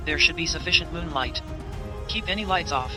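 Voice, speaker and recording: A man playing a cautious, level-headed companion in an audio drama, guiding others in a calm, dry manner. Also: a little high, brisk, over music, lightly acted. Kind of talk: scripted audio drama acting.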